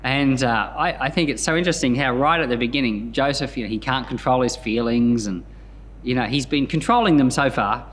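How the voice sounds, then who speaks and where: A man reading scripture and commenting on it in an expressive storytelling manner, as a pastor hosting a Bible podcast. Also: medium pitch, brisk, a little hiss or hum.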